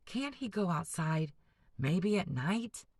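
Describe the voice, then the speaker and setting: A woman's voice: fairly low pitch, big pitch swings, slow pace, darkish tone, slightly rough, dry recording, studio quality, full character voice. A woman voicing a resentful teenage farm boy who grumbles about extra chores, in a storybook read-aloud. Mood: grumbling. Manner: complaining, sulky.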